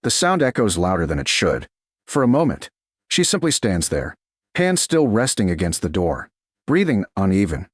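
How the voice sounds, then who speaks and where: A man with a low, husky voice narrates a romance audiobook in short, suspenseful phrases. His pitch rises and falls dramatically, and the recording is close and dry. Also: huge pitch swings, normal speed, no room sound.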